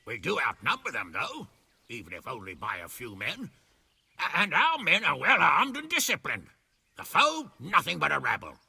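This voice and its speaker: Gravelly, swooping, blustering nobleman. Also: a little high, huge pitch swings, normal speed, pushed and bright, no echo, exaggerated voice. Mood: confident, scornful. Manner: hearty, swaggering. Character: blustering, battle-hungry medieval nobleman, boisterous and jovial. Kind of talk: video game cutscene acting.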